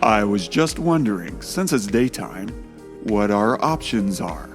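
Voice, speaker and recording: An actor playing a devoted, wealthy suitor in a romance audio drama, speaking tenderly and earnestly. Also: male voice, on the low side, big pitch swings, measured pace, faint music behind. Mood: amorous.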